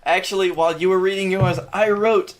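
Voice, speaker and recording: A man's voice: sits high, steady tone, a little fast. A man as a podcast guest, reading a story aloud and chatting casually. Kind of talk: fan fiction read aloud and casual podcast chat.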